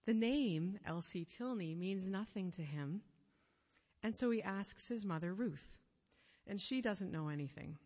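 A woman giving a sermon, telling a story in an earnest, measured way, pausing phrase by phrase. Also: mid-range voice, lively intonation, darkish tone. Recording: long reverb of a hall.

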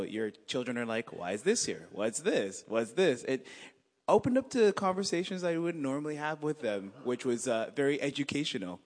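A man with a lowish voice sharing an earnest, rambling story before a congregation. His voice is loud and rings with the long echo of a church hall. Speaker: congregation member. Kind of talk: casual testimony.

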